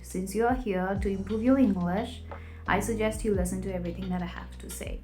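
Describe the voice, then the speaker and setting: A woman's voice: mid-range voice, steady tone, a little fast, dark tone. Young woman giving emphatic tips. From Nepal. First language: Nepali. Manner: emphatic, tip-by-tip delivery. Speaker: vlogger. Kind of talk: scripted advice vlog. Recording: echoey room with faint music.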